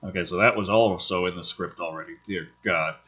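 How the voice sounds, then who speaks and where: A man with a slightly rough voice reading a script aloud dramatically, dropping in sardonic, profane asides. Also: low voice, fairly even intonation, a little fast, pushed and bright, highs cut off. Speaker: host reading a film script aloud with commentary.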